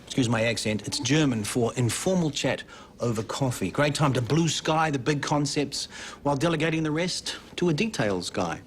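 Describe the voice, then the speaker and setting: A man's voice: medium pitch, a little hiss or hum, close to an everyday voice. Cast a man as an earnest, jargon-loving hospital CEO, mid-range voice, soap drama. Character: newly idealistic male hospital boss. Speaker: hospital chief executive. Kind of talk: television soap drama acting.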